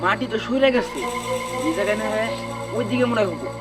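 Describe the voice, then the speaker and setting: A man's voice: high voice, fast talker, far from the mic, lightly acted. An actor playing an obliging henchman in an amateur drama. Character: obliging henchman of a gang boss. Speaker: actor in amateur short film. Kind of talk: amateur comedy drama dialogue. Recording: very loud music and crowd-like noise behind.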